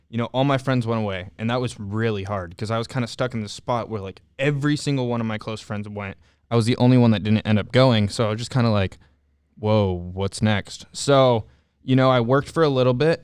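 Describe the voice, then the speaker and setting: A young man speaks candidly about his past in an easygoing interview, often pausing and restarting his sentences. His voice is smooth and clear at a middling pitch. The sound is close and dry, with no room around it. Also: dry recording. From Southern California. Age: young adult. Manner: candid, halting, many fillers and restarts. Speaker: returned service missionary guest. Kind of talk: casual interview storytelling.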